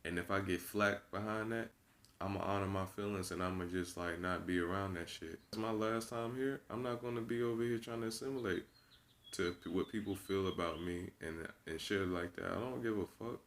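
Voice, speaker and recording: A young man in his twenties with a low voice delivers an unscripted monologue to his viewers. He is blunt and profane, preaching his point and circling back on the same phrases for emphasis. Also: a little fast, fairly bright tone. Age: 20s. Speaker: vlogger.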